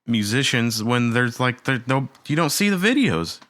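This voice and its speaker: A man co-hosting a casual music podcast, adding deadpan joking asides. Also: medium pitch, moderate pace, no echo. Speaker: podcast co-host. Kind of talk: casual chat.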